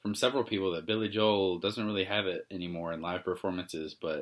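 A young man from the United States co-hosts a sports podcast in an easygoing, even tone. His voice is very low, and his delivery stays relaxed and unhurried. He often trails into filler sounds. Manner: laid-back, calls himself monotone. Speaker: podcast co-host. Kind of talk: casual sports podcast chat.

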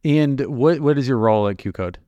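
A man's voice: mid-range voice, a little fast, warm tone, dry recording, dull audio. A man hosting a podcast, delivering introductions and questions to a guest. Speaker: podcast host. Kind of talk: scripted show introduction and interview questions.

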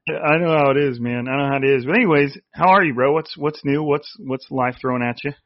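A man's voice: mid-range voice, fast, no room sound, dull audio. A man hosting a casual sports podcast, with a nasal voice and a rambling way of talking. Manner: rambling, restarts often. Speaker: podcast host.

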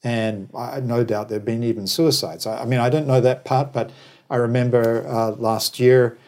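A man originally from New Zealand gives conversational commentary in an interview. His voice sits at a middle pitch. He is discursive and opinionated, with a wry way of telling anecdotes. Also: fairly even intonation, normal speed, a little mellow. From New Zealand, later Australia. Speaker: interview guest, travel business operator.

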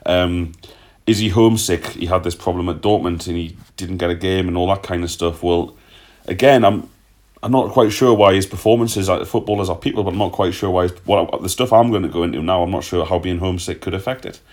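A man's voice: deep voice, a little fast, darkish tone. A man hosting a casual football discussion in a blunt, sweary, joking way. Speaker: podcast host.

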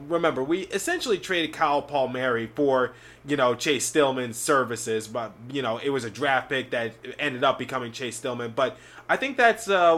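A man's voice: medium pitch, fairly bright tone. A man with a sore, slightly scratchy throat, hosting a sports podcast in a rambling, chatty way with many fillers. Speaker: podcast host. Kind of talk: casual sports podcast talk.

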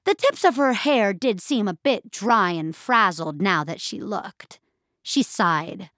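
A woman narrating scripted audio fiction in a measured, expressive storytelling style. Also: mid-range voice, no echo, studio quality.

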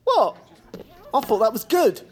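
A woman's voice: a little high, sing-song, measured pace. A woman voicing a simple, stubborn, hammy amateur actor with plain folksy speech in an audio cartoon. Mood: casually stubborn. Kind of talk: audio fiction cartoon acting.

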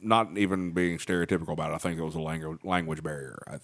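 A man's voice: extremely low pitch, fairly even intonation, racing, a bit bright. A man with a Southern drawl, a comedian chatting loosely on a podcast. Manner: laid-back, rambling, often laughing. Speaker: comedian and bartender. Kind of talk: casual podcast chat.

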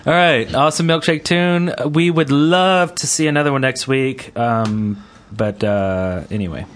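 Male podcast co-host with a medium-pitched voice, informal and jokey in conversation. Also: a little slow, a bit bright. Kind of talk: casual joking chat.

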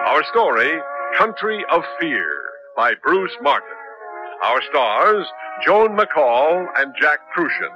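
A man announcing on 1970s radio in an emphatic, polished scripted delivery. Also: fairly high pitch. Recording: dull lo-fi 1970s radio broadcast. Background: music.

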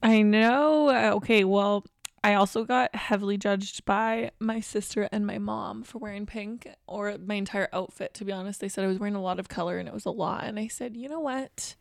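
A young adult woman co-hosting a podcast, her voice slightly raspy from partly losing it, chatting in a bubbly, self-deprecating way. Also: medium pitch, normal speed, dry recording, clean studio sound. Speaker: podcast co-host. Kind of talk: casual chat.

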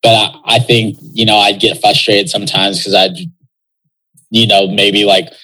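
Young guy from texas, kinda low voice, rambles thoughtfully with lots of likes and you knows. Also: completely flat delivery, a little slow, bright, forceful tone, poor audio. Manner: reflective, loose, many fillers. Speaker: guest, pro soccer player. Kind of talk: casual interview talk.

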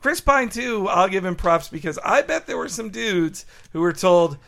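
A man's voice: high-pitched, lively intonation, moderate pace, creaky, fairly bright tone. A man co-hosting a casual podcast, chatting about a film and acting out lines in put-on voices. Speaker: podcast co-host. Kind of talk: casual movie discussion.

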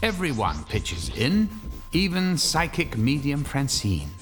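Suave spooky radio drama narrator, really animated pitch, music loud behind him. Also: male voice, slow. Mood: dramatic, wry. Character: suave storyteller of a ghostly horror tale.

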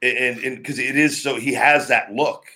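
A man with a deep, heavy, booming voice, hosting a podcast in a loose, rambling, talkative way. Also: fairly even intonation, normal speed, sharp tone. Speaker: podcast host, former pro wrestler. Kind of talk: casual podcast chat.

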